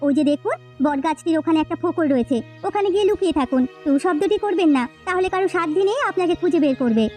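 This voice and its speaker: A woman voicing a kindly, concerned village housewife in a cartoon folktale, earnest and expressive. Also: extremely high pitch, fast, faint music behind. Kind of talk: Bengali cartoon folktale voice acting.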